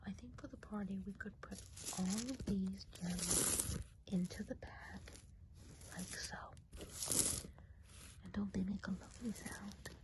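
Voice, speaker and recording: A woman playing a friendly, chatty Christmas witch of the woods in a gentle, breathy ASMR whisper. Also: mid-range voice, natural voice, low-quality recording. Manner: gentle, unhurried, chatty and hesitant. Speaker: ASMR role-play host. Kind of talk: whispered ASMR role play.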